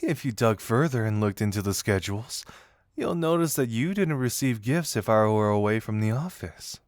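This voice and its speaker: A man's voice: fairly low pitch, animated, normal speed, no room sound, clean studio sound, lightly acted. A man acting a flirty, self-deprecating detective who shyly confesses as a secret admirer in a romantic audio roleplay, teasing yet earnest and hesitant. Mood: playful reasoning.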